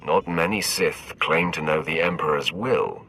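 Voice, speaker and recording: A man's voice: rumbling low, a little slow, sharp tone, faint music behind, heavily acted. A man playing an arrogant, menacing young Sith warrior in a video game, curt and haughty. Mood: cold, haughty. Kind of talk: video game cutscene acting.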